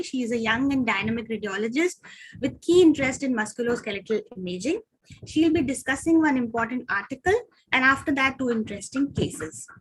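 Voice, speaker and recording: Indian-accented woman moderator, fairly high, husky tone, formal introductions over a video call. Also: poor audio. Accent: Indian English. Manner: reads a formal introduction with frequent "uh" pauses. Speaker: moderator of an online radiology journal club.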